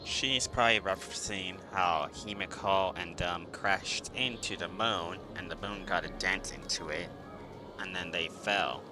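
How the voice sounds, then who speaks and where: Low-voiced man commentating over game music. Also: unhurried. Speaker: game livestreamer. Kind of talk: casual gameplay commentary.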